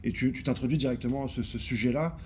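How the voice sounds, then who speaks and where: A man's voice: medium pitch, quick pace, darkish tone, slight background noise. A man hosting an interview in a casual, informal way, with many fillers and frequent short acknowledgments. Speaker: interview host.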